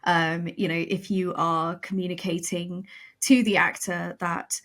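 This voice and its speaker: A woman, a podcast guest and writer, explaining in lively, quick bursts. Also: lowish voice. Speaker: guest, audio drama writer. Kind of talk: casual podcast conversation.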